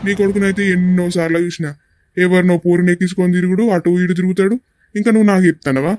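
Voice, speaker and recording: A man's voice: high-pitched, fairly even intonation, racing, a little mellow, dry recording, natural voice. A man playing a defensive, argumentative villager in a family drama, blunt and warning, with a Telangana Telugu accent. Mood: indignant.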